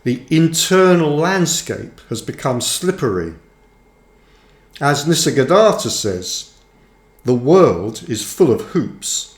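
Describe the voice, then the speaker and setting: Slow-reading man in a roomy space. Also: a little high, big pitch swings. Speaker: author reading own book aloud. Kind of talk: audiobook-style scripted narration. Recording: roomy, echoing sound.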